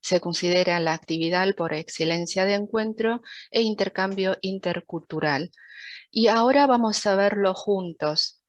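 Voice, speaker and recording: Woman, native Chinese speaker, mid-pitched voice, scripted lecture delivery, formal and measured, close dry sound. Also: no echo, lo-fi sound. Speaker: interpreter giving a talk. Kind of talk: prepared lecture, read from a script.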